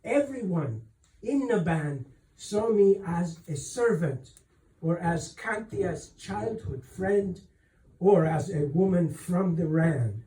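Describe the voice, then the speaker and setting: Man voicing a halting young servant woman, high and soft. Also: animated. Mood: earnest, frustrated. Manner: hesitant, halting, deferential. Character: young servant woman, earnest, humble, tearful, clever. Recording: roomy echo, distant microphone.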